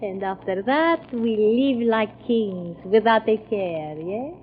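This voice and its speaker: An actress playing a deadly exotic villainess who speaks with mock politeness and chant-like menace in 1940s radio style. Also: female voice, mid-range voice, lively intonation. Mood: soothing, sly. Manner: slow, incantatory, mock-polite. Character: exotic villainess, cobra charmer, silky and deadly. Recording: tinny, noisy old radio recording.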